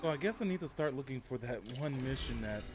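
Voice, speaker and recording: A man's voice: medium pitch, quick pace, over music. A man streaming a video game, casually chatting and giving short voice commands. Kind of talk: casual live gaming commentary and voice commands. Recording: steady background noise.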